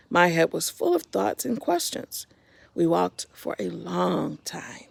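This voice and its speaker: A woman with a heavily creaky voice reads aloud for young children. Her delivery is expressive and simple, turning to address the little listeners directly. The sound is close and dry. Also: fairly low pitch, dry recording. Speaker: storyteller reading a picture book to children.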